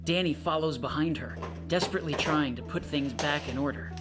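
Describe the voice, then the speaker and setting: A woman reading stage directions as a scripted narrator in a plain, measured way. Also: deep voice, loud music behind the voice, close to an everyday voice. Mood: neutral, measured.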